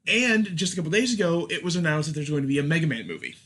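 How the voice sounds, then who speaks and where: A man's voice: on the high side, big pitch swings, very fast, a bit bright. A man hosting a casual film chat, with a wry, joking manner. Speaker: podcast host.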